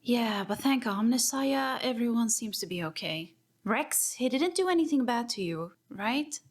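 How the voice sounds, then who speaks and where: A woman's voice: on the high side, expressive, a little slow, a little hiss or hum. A woman playing an eccentric, curious tech-priest scholar, affectionate and a little nervous, in a sci-fi audio drama. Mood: relieved.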